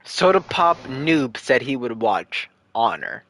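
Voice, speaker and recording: Young male streamer in his twenties, casual Q&A, earnest, rambling, mid-pitched. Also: sing-song, slow pace, fairly bright tone, a little hiss or hum. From United States. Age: 20s. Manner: earnest, rambling, repeats himself while thinking aloud. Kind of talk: casual livestream Q&A.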